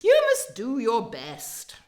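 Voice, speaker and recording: A woman's voice: fairly high pitch, huge pitch swings, takes it slowly, a little mellow, exaggerated voice. A woman playing an imperious, exacting opera company director in a radio play, speaking formally and precisely with pomp. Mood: stern, commanding.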